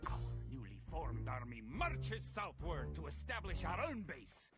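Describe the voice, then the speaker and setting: An actor playing a grave, resolute medieval Scottish soldier, narrating a war in a dramatic, theatrical storytelling style for a game cutscene. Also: male voice, a little high, big pitch swings, unhurried. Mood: ominous. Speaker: campaign narrator. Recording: music under the voice.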